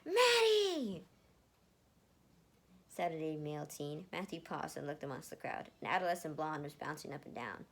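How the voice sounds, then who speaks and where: A woman's voice: low voice, fairly even intonation, a little fast, vocal fry, few pauses. A woman narrating a story as an amateur reader, often stumbling and rereading phrases. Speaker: fanfiction reader. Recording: noticeable background noise.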